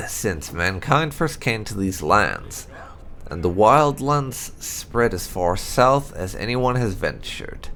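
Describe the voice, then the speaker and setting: Man reading lore with lively, swooping pitch. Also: gravelly, slight background noise. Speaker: gaming channel host. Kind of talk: reading game lore aloud as narration. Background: background music.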